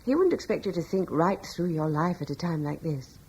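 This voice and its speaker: Husky, gentle, devout young wife. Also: female voice, fairly low pitch, expressive, fairly quick. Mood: gentle reassurance. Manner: earnest, tender, hesitant. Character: devout, gentle young wife in ancient times, calmly reassuring. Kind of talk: radio drama acting.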